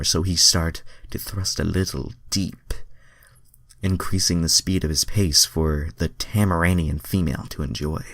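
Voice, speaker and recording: A man's voice: deep voice, fairly bright tone, dry recording. A man giving an amateur dramatic reading of fan fiction, breaking off for self-mocking asides.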